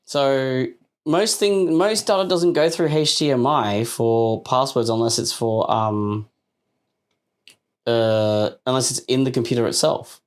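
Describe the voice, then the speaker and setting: A man's voice: mid-range voice, a little slow. A man co-hosting a casual tech podcast, bantering and riffing in a jokey, playful way. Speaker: podcast co-host.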